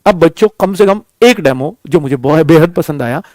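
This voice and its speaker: High-pitched man, animated teacher. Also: moderate pace, no echo. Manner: explanatory, addresses students as children, frequent check-in phrases. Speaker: coaching teacher and channel host announcing a class. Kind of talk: informal exam-coaching talk to students.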